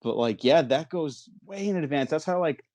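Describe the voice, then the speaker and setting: A man hosting a movie podcast in casual chat, informal and halting, often repeating his words. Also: on the high side, expressive, darkish tone, dry recording. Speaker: podcast host.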